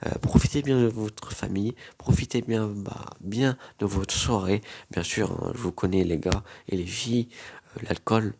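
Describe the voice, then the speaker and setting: A man's voice: fairly low pitch, normal speed, darkish tone, breathy edge. A young man with a cold, rambling casually to camera as a YouTuber, with lots of fillers and repetitions. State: has a cold.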